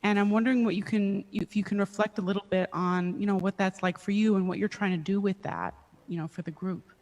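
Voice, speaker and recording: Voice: medium pitch, steady tone, fairly quick, darkish tone. An interviewer asking long, thoughtful questions in a polite, searching way. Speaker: interviewer presenting a workshop. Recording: long reverb.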